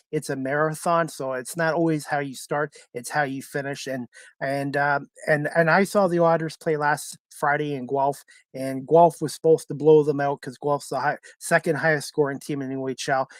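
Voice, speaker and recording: A man's voice: fairly high pitch, dry recording. A man hosting a sports talk show, chatting casually in a rambling, repetitive way.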